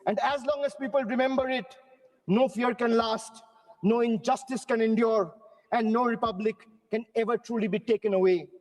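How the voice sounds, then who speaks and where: A man's voice: very high-pitched, steady tone, moderate pace, darkish tone, big-room sound. A man from Bengal giving a rhetorical speech in parliament, declaiming in parallel phrases. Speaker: member of parliament. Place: large parliament chamber.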